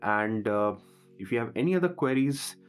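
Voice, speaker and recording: A man's voice: medium pitch, expressive, normal speed, smooth. A man talking through a product unboxing as a vlogger, in a casual reviewing style. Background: music.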